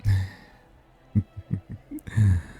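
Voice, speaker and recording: A man playing a suave, seductive male demon in an intimate ASMR roleplay, teasing and flirtatious, with a husky, gravelly murmur. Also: flat delivery, dark tone, soft background music, exaggerated voice. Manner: slow, flirtatious, breathy murmurs with low chuckles.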